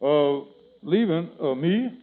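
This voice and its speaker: Kingfish, a fretful, stammering schemer. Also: male voice, on the high side, animated, takes it slowly. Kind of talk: 1940s radio comedy acting. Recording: tinny old radio broadcast recording.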